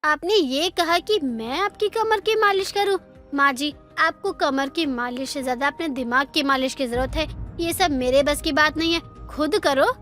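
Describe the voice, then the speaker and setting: A woman's voice: high-pitched, moderate pace, a bit bright. A woman voicing a lazy, insolent young daughter-in-law who argues back in a moral story cartoon. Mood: insolent refusal. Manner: defiant, argumentative. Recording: music under the voice.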